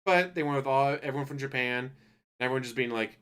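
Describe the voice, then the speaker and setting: A man giving a loose, profane spoken review of an anime, ranting casually and acting out characters in quick asides. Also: mid-range voice, fairly bright tone, clear, resonant tone.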